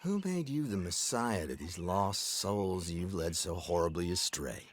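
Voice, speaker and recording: An actor playing a haughty, menacing detective agent in a video game, with formal, deliberate, threatening speech. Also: male voice, deep voice, lively intonation. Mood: righteous scorn. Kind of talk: dramatic video game cutscene acting.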